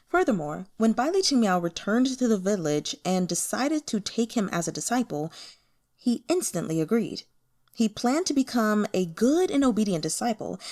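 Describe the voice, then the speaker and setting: A woman reading a fantasy novel aloud as an audiobook narrator, in an expressive scripted style. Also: medium pitch.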